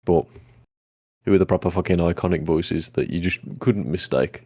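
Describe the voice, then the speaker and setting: A man, co-hosting a casual podcast chat, easygoing and swearing freely, with a deep voice that turns mumbly when relaxed. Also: breathy edge, no echo, clean studio sound. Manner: easygoing, swears casually, quick agreeing "yeah"s. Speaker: podcast co-host.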